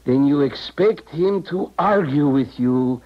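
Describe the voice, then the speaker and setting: An actor playing a stern, formal, wary Eastern Bloc colonel in a 1970s radio drama, measured in his phrasing. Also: a little high, expressive. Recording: muffled old radio broadcast.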